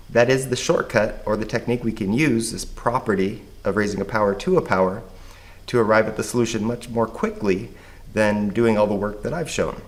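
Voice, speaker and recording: Hoarse man teaching math. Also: fairly low pitch. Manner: patient step-by-step explaining. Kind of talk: recorded math lesson.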